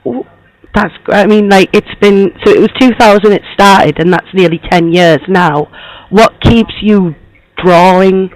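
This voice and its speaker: A man putting on a young woman journalist, chatty and polite, who keeps rambling through "like" and "um". The voice sits high for a man and is hoarse, and it sounds dry with no room around it. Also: a little slow, dry recording, poor audio. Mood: friendly, chatty. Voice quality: rambling, filler-heavy, lots of "like" and "um". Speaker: prank caller posing as interviewer. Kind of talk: prank phone call.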